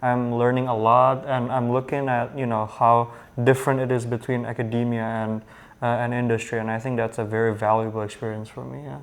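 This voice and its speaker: A man's voice: mid-range voice, little pitch movement, a little fast. A young man, a student intern on a panel, speaking casually and hesitantly with many "um" and "uh" fillers. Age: young adult.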